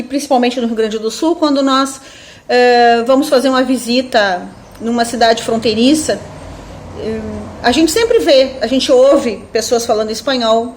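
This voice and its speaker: A woman's voice: high voice, slight background noise. A woman with a southern Brazilian accent giving an informal academic webinar talk as a professor, thinking aloud and often checking in with tag questions. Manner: reflective, seeks agreement with frequent tag questions. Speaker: university professor, webinar speaker.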